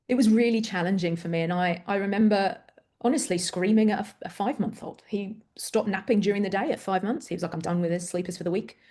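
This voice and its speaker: Woman, middle-aged, English accent, from Greece; interview guest, candid, animated, self-deprecating storyteller. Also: medium pitch. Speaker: parent coach guest. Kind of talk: conversational interview.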